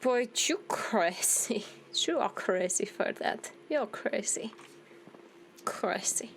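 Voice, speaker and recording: A woman's voice: a little high, lively intonation, slow pace, whispered. A woman giving casual, playful playthrough commentary, conversational and talking back to the game as she plays. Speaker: gaming video host.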